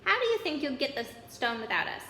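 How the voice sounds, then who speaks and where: Storybook schoolgirl, read by a woman: brisk, clever, bossy yet caring, high bright voice, echoey. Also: big pitch swings, heavily acted. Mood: brisk, confident. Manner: brisk, self-assured. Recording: echoey hall, background noise.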